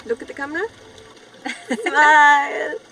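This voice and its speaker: A playful young woman on an outing. Her high voice is chatty and natural, picked up a little away from the mic as in a phone video. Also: fairly even intonation, slow pace, far from the mic, heavy background noise. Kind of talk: casual naturalistic film acting.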